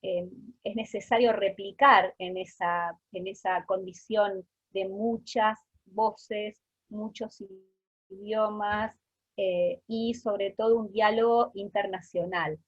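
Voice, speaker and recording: A woman with an Argentine accent giving an informal academic lecture, pausing often, over dull online call audio. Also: medium pitch, fairly even intonation, slightly rough, dry recording. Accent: Argentine Spanish. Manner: deliberately slowed, with pauses. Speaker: guest lecturer, academic researcher.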